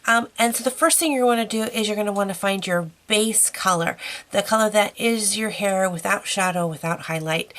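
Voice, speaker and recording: A woman's voice: medium pitch, a bit bright. A woman hosting an art tutorial, chatty and encouraging with lots of fillers, her nose stuffy from a cold. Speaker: art channel host.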